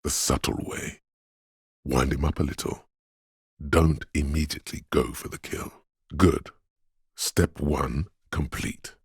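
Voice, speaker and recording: An actor voicing a coaxing inner voice of intuition in a video game, deep and gravelly, speaking in measured, dramatic, intimate narration. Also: male voice, steady tone, dry recording, clean studio sound, heavily acted. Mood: sly, coaxing. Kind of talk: scripted game narration as an inner voice giving social advice.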